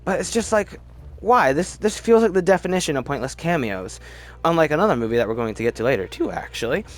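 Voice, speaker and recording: A man with a fairly high voice and very lively, swinging intonation gives casual movie commentary. He talks in a rambling, opinionated way, circling back and repeating words to drive a point home. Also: fast. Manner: rambling, animated, often repeats words for emphasis. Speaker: film ranking video host.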